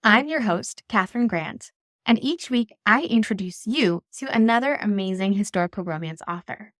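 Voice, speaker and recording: A woman's voice: medium pitch, lively intonation, normal speed, fairly bright tone. A woman hosting a podcast, delivering scripted introductions and conversational interview questions. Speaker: podcast host.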